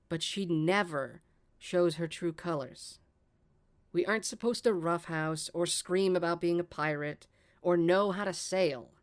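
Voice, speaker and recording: A woman's voice: on the low side, natural voice. A woman playing a strict, exasperated, protective barmaid mother in a pirate story table read, scolding and emphatic.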